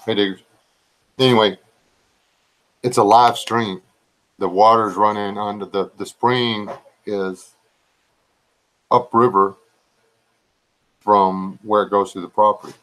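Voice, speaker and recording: A man rambling casually to his live chat viewers in a slow, drawling, low-key voice that some find relaxing and others boring. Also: fairly even intonation, a bit bright, poor audio. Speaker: live stream host chatting with viewers.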